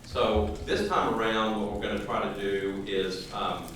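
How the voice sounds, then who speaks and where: A man's voice: on the low side, little pitch movement, a little slow, fairly bright tone. A man facilitating a public meeting, talking informally and restarting his sentences. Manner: informal, conversational, with frequent restarts. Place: large room at a public library. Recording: echoey hall, faint room noise.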